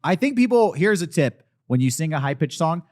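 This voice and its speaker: A man co-hosting a podcast, telling animated stories in casual chat, breaking into loud impressions and falsetto. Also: a little fast. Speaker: podcast co-host.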